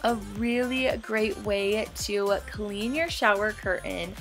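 A chatty woman in her thirties narrates a home cleaning video in a bubbly, friendly way. Her pitch sits in an ordinary middle range. Faint music plays softly under her voice. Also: steady tone, takes it slowly. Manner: bubbly, chatty, with light laughs. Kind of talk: voice-over cleaning tutorial. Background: soft background music.

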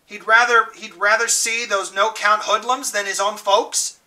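A man reading aloud and voicing a bitter, hard middle-aged mother who is shrill and indignant. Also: little pitch movement, measured pace, sharp tone, distant mic, heavily acted, poor audio. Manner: shrill, indignant and self-pitying.

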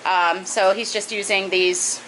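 A woman's voice: medium pitch, fairly even intonation, a little slow, fairly bright tone, slight background noise, poor audio. A woman in her thirties casually explaining a project step by step in her basement fish room, with a few fillers. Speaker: aquarium hobbyist vlogger.

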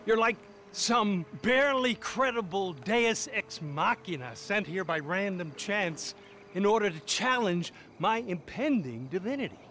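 An actor playing a vain, entitled American film star in a satirical video game, pompous, affected and condescending. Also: male voice, high voice, lively intonation, measured pace, pushed and bright, slight background noise, exaggerated voice. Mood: pompous, languid.

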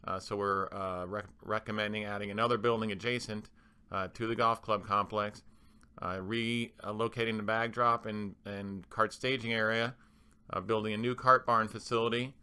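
Guy doing a slideshow voiceover, lowish bright clear voice, kinda halting as he explains stuff. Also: steady tone. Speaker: club manager presenting renovation plans. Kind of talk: slide presentation voice-over.

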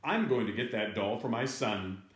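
A man voicing an excitable male shopper in a children's story. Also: lowish voice, moderate pace, echoey room, close to an everyday voice. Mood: admiring.

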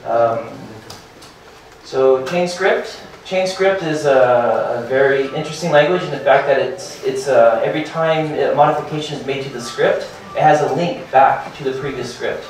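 A man in his thirties giving a hurried startup presentation at a meetup, full of fillers and restarts. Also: medium pitch. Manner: hurried, filler-heavy, restarts and repeats words. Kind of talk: conference talk. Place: meetup room with projector and seated audience. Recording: very echoey room from far off.

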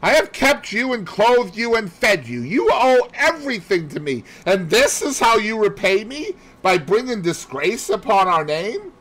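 Man as wealthy wronged lady, audiobook, much raised pitch, animated, sharp, imperious, commanding. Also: measured pace, few pauses, full character voice, poor audio. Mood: outraged. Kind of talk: audiobook character voice.

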